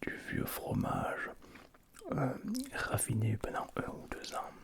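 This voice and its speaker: A man hosting an ASMR tasting in a casual, hushed, low voice. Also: huge pitch swings, slow, creaky. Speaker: ASMR video host. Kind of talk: whispered ASMR food tasting.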